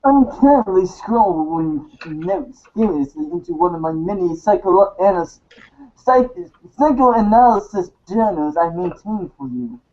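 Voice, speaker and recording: A snooty, analytical teenage girl acted by a woman, wordy and deliberate. Her pitch moves in wide, mannered curves. It sounds like a fan theater reading on a slightly lo-fi recording. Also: animated, darkish tone. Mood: smug, analytical. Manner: deliberate, wordy, stumbles on long words. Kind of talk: read-along fan theater.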